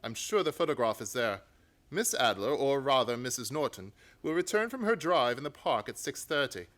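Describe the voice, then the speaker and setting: A man playing a confident, commanding gentleman detective in a theatrical radio play. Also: medium pitch, very animated, moderate pace. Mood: instructing.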